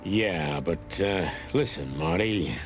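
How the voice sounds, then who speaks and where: A man's voice: deep voice, lively intonation, slow, over music, full character voice. A man playing a weary old rooster detective in a film noir game, terse, brooding and world-weary. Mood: grave, resigned. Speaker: narrator and lead detective. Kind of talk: hardboiled film noir voice acting.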